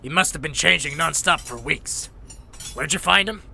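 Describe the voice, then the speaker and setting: A grumpy aging robot medic acted by a man with an intensely sharp, fairly high voice full of gravel. He is blunt and world-weary, an animated-comic old professional who has seen too much. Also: moderate pace, a little hiss or hum, exaggerated voice. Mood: exasperated. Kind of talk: animated motion-comic dialogue.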